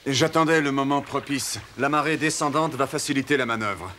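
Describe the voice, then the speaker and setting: An actor voicing a resolute male admiral who gives firm orders in an animated cartoon. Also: mid-range voice, brisk, a little hiss or hum, lightly acted. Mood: calm. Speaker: admiral leading a voyage.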